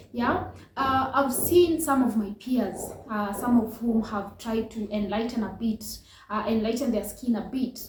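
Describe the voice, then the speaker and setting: A woman's voice: fairly high pitch, darkish tone, reverberant, slight background noise, low-quality recording. A woman hosting a reaction channel, talking earnestly and reflectively with many fillers and restarts. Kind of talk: casual reaction commentary.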